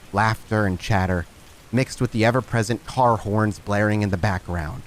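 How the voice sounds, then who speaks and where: A man's voice: fairly low pitch, slight background noise, low-quality recording. A man hosting and narrating a tabletop roleplaying game as storyteller, with a dramatic, descriptive manner.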